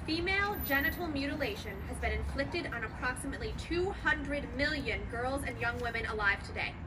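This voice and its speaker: A high female voice reads a factual script from a stage, picked up at a distance amid plenty of background noise. She phrases it gravely and emphatically, like a measured announcement. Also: lively intonation. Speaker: reader in a staged performance. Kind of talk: scripted factual narration read aloud. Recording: distant mic, noisy live venue.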